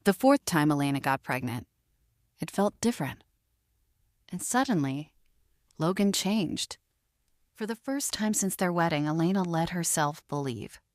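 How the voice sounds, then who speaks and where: A woman narrator delivering scripted romantic drama in a measured, emotive storytelling manner. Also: fairly low pitch, expressive, a little mellow, dry recording, studio quality.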